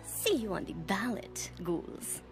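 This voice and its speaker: A woman playing a scornful teenage zombie villainess in a cartoon, with a mocking, theatrical, drawn-out delivery. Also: medium pitch, sing-song, over music. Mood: rallying, smug. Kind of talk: animated cartoon acting.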